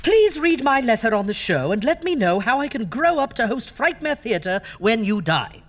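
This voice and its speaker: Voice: mid-range voice, sing-song, normal speed. Undead, wildly swooping horror host. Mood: mock-sweet. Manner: theatrical, alliterative, mock-sweet. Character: undead doctor, flamboyant, ghoulish horror show host. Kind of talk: campy horror radio hosting.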